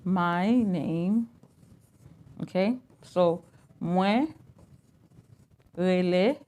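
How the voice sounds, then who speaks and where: Slow Haitian woman teaching English. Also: on the low side, dark tone. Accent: Haitian Creole. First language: Haitian Creole. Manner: slow, repeats phrases while writing them out. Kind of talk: live online language lesson.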